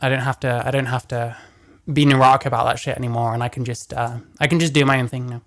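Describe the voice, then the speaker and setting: A man with a deeper voice giving casual reaction commentary, blunt and profane as he rants. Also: flat delivery, fast, a bit bright. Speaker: commentary host.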